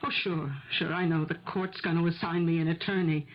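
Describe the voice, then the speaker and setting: An actress in a 1970s radio drama playing a desperate, stubborn middle-aged mother who argues insistently for her accused son, with a husky voice. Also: lowish voice, fairly even intonation, fairly quick, lightly acted. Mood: bitter. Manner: insistent, argumentative, pleading. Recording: dull, lo-fi old radio recording.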